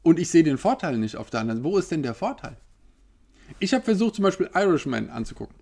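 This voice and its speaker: A man in his thirties ranting in a casual, animated way, firing off rhetorical questions. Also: a little high, quick pace, creaky. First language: German. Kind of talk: casual opinion monologue.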